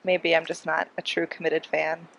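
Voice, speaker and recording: A young woman with a congested, breathy voice, giving casual, excitable live commentary as she streams a game. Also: deep voice, moderate pace, a little hiss or hum, rough recording. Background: game music.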